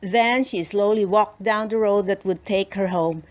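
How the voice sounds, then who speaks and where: A woman narrating a children's storybook as an expressive read-aloud. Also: mid-range voice, a little slow.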